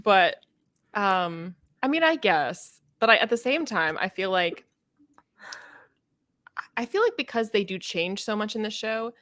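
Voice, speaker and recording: A woman's voice: mid-range voice, animated, measured pace, long pauses, fairly bright tone, no echo. A woman co-hosting a podcast, discussing books casually and giving her opinions with emphasis. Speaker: podcast co-host.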